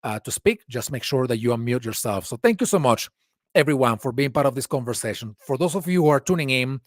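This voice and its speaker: A man from Venezuela moderating a casual online panel over a video call, chatty and quick to laugh. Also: mid-range voice, lively intonation, no echo, studio quality. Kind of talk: casual webinar hosting.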